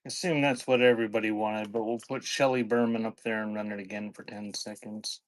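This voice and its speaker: A man's voice: lowish voice, little pitch movement, a little fast. Man chatting casually on a live sale. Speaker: online live-sale seller of vintage cassettes. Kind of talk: casual live-stream selling chat.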